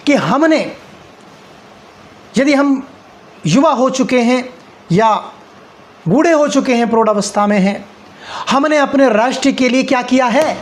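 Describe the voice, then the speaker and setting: A man with a distinctly high voice speaks directly to his listeners in a patriotic address. He is earnest and exhorting, pausing deliberately and repeating phrases for emphasis.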